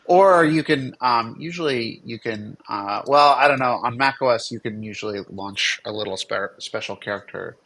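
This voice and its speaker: A man with a medium-pitched voice talks casually with his colleagues. He is a game developer on a team livestream, speaking conversationally. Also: moderate pace, hardly any pauses, a bit bright, poor audio.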